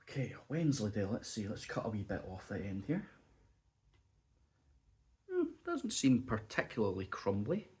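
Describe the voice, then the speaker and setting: A man chatting casually as he taste-tests cheese and crackers at home, still sniffly and coughing from a bug. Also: medium pitch. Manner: chatty, muses aloud while tasting. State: lingering cough, a bit sniffly. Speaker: food reviewer. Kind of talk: casual food review vlog. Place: indoors at home.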